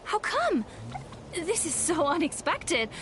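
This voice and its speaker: A woman's voice: very high voice, sing-song, slow pace, fairly bright tone, a little hiss or hum, heavily acted. A woman voicing an earnest young girl warrior trainee in an anime game, exclaiming eagerly. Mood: dismayed. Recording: music behind the voice.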